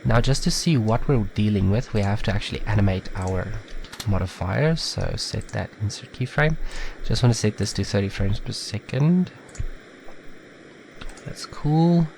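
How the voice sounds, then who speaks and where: Low, gravelly man with a head cold. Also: moderate pace, a little hiss or hum. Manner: relaxed, chatty step-by-step instructions with fillers. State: slightly nasal from a head cold. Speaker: tutorial maker. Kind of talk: casual screen-recorded software tutorial.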